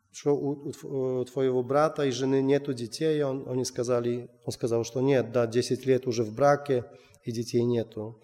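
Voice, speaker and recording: A man telling a missionary testimony, halting with many restarts. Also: medium pitch, dark tone. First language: Russian. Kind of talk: personal testimony. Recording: long hall reverb.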